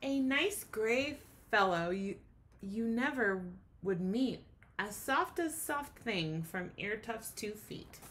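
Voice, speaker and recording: A woman's voice: on the high side, lively intonation, slow, fairly bright tone, slight background noise. A woman teacher giving a children's read-aloud lesson, speaking expressively and explaining things in simple terms.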